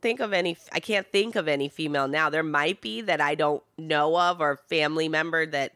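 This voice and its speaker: Low-voiced, bright, emphatic woman. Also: fairly quick, dry recording. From Italian family, raised in America. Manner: emphatic, animated and laughing. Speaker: podcast host. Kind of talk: casual conversation.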